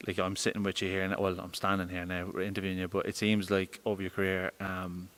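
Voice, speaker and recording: A man's voice: deep voice, completely flat delivery, quick pace, fairly bright tone. A young man interviewing a boxer, rambling and hesitant with many fillers.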